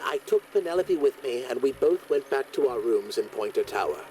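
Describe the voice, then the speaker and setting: An actor voicing an earnest gentleman in a video game, carefully recounting his testimony. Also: mid-range voice, animated, moderate pace, slight background noise, lightly acted.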